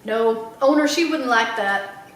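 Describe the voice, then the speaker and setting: Lady putting on a timid mumbly shop guy for a kids book, halting, echoey room. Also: on the high side, fairly bright tone, far from the mic, a little hiss or hum, voice pushed down. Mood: shy, reluctant. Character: shy, hesitant pet shop man, eyes down. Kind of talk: children's storybook read-aloud.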